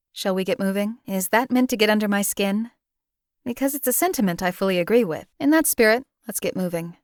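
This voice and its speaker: A woman voicing a numb, detached female hired killer in a game, who talks in a measured, dry way. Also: mid-range voice, no echo, lightly acted. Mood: dry, wry. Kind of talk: video game companion voice lines.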